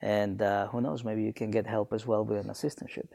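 Reflective man from Honduras, gravelly. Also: lowish voice, level tone, a little fast, warm tone, no room sound, clean studio sound. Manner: reflective, many fillers and restarts. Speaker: guest, business alumnus. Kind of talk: conversational interview.

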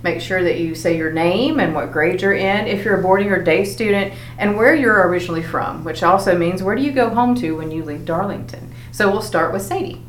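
A woman's voice: lowish voice, a little fast, hardly any pauses. A woman teacher hosting a school podcast, moderating a panel of students in an encouraging way. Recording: echoey room, faint hum.

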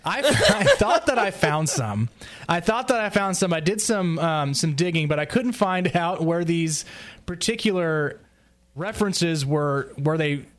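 A man hosting a casual podcast roundtable, speaking in a halting, self-deprecating way. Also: on the high side, lively intonation. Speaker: podcast host recapping an audiodrama.

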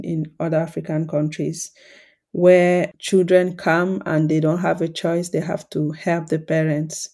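A woman with a low voice talks calmly and thoughtfully to her podcast listeners. She keeps her tone warm and mellow and her pitch even, with little rise or fall. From Cameroon. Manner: calm, reflective, explanatory. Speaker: podcast host and coach. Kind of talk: solo podcast talk.

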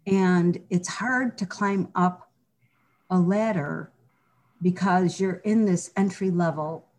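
Earnest low-voiced middle-aged woman. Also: slow pace, a little mellow. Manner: earnest, reflective, with frequent restarts and "you know". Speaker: guest. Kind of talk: candid personal interview.

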